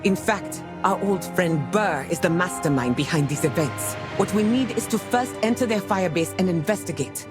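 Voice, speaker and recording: A woman's voice: fairly low pitch, lively intonation, moderate pace, few pauses, music in the background, lightly acted. Woman as a composed, formal scout commander. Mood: composed, briefing. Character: composed, loyal female scout commander, dutiful and diplomatic. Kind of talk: dramatic video game acting.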